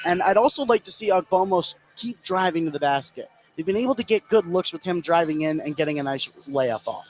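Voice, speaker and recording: A man's voice: high-pitched, low-quality recording. A man working as color analyst, giving live basketball analysis in a gym. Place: indoor gym. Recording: gym noise behind voice. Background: gym ambience.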